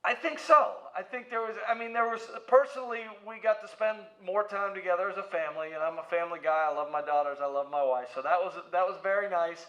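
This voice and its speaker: A man's voice: high-pitched, quick pace, few pauses, pushed and bright. A middle-aged American man giving casual, playful interview answers in an anecdotal, storytelling way. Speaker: museum president and CEO, interview guest. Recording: echoey room, slightly dull audio.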